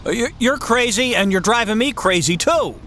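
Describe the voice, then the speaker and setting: A woman's voice: a little high, animated, normal speed, a bit bright, slight background noise. A woman playing a frantic, desperate family man, stammering and pleading, in a comic radio drama. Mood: agitated, confused.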